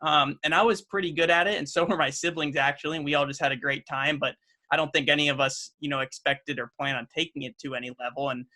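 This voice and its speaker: Upbeat bright-voiced young man. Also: on the high side, fairly even intonation, fast. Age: young adult. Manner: earnest, upbeat, with frequent fillers and restarts. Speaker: race car driver guest. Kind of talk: casual podcast interview.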